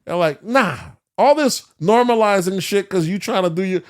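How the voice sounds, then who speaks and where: A man's voice: sits high, animated, normal speed. A man co-hosting a casual podcast, giving his opinions in a slangy, profane, rambling way. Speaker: podcast co-host.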